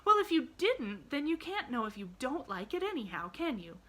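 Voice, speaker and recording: A woman's voice: sits high, sing-song, normal speed, bright, forceful tone, voice pushed up, heavily acted. A woman voicing an eager, talkative, glad little girl from a storybook, rambling earnestly with a rising inflection.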